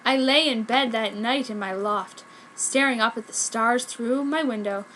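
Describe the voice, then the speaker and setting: A woman's voice: a little high, a little slow, fairly bright tone, slight background noise, poor audio. A woman reading fan fiction aloud as narrator, with a theatrical, mock-serious melodramatic delivery. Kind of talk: dramatic comedic story reading.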